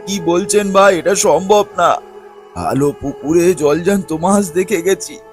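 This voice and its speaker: An earnest, sympathetic cartoon villager, played by a man, indignant on a neighbour's behalf. His voice is high for a man, over faint music. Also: measured pace. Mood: disbelieving. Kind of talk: cartoon voice acting.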